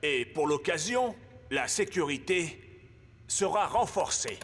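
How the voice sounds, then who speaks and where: Commanding, animated cartoon coach. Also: male voice, a little high, slow. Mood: stern, commanding. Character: stern, commanding male football coach. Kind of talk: French cartoon dubbing.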